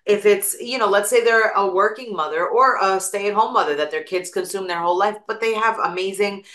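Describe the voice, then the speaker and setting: A woman's voice: mid-range voice, a little fast, fairly bright tone, reverberant. A woman with an East Coast American accent, a guest on an interview show, talking casually and telling stories with lots of examples. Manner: chatty, storytelling with examples. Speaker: guest, attorney and real estate broker.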